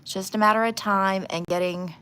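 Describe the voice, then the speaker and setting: A woman's voice: medium pitch, fairly even intonation, fairly quick, pushed and bright, smooth, rough recording. A woman hosting a casual livestream, talking informally and bluntly as she gives her opinions. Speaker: livestream host doing nail art. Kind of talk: casual livestream chat with true crime commentary.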